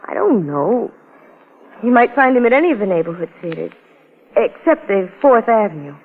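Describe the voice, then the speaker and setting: An actress playing a blunt, wounded working-class wife under police questioning in a vintage radio crime drama. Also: female voice, mid-range voice, big pitch swings, moderate pace, slightly rough. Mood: matter-of-fact, bitter. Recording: muffled old-time radio recording.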